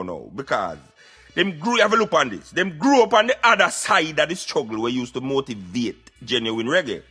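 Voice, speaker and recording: Bright, emphatic Jamaican man on radio. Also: on the high side, breathy edge. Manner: emphatic, preaching in Patois. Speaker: radio show host. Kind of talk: opinionated talk radio commentary.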